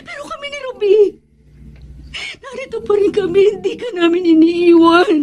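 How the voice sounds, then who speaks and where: A woman's voice: very high-pitched, animated, normal speed, a little mellow. A woman acting a hurt, devoted wife in a Tagalog radio drama, emotional, emphatic and pleading. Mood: tearful pleading.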